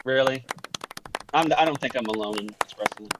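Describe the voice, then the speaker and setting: A man hosting a live-streamed matching game, joking and quick to laugh. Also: mid-range voice, background noise. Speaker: game show host.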